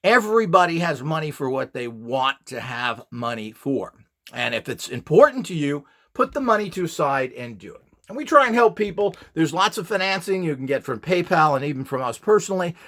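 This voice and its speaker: A man's voice: mid-range voice, expressive, moderate pace, fairly bright tone. A man giving an informal, opinionated lecture in a blunt, scornful and emphatic manner, as a presenter selling devices. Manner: rhetorical questions, mimicked quotes. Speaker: presenter and seller of radionics devices.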